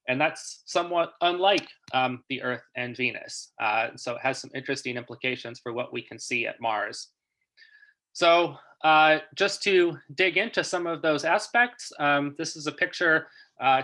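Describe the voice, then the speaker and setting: A man's voice: mid-range voice, bright, forceful tone. A man giving an explanatory academic lecture as a guest researcher in a webinar.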